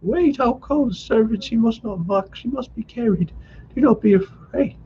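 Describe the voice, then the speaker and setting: A man voicing a frantic older mother who scolds and wails in a shrill, accusing voice. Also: moderate pace, muffled recording, a little hiss or hum. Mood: frantic scolding.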